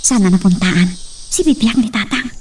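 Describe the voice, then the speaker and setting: Female actor for resolute, worried daughter, radio drama. Dark mellow tone, earnest delivery, northern Philippine accent, faint night noise. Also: mid-range voice, moderate pace, distant mic, close to an everyday voice. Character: determined, worried daughter searching for her father.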